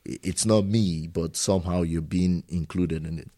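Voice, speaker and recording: A man's voice: low voice, steady tone, unhurried, dark tone. A man hosting a casual conversation, talking in an earnest, reflective way about his own experiences as an immigrant. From immigrant living in Germany. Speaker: host.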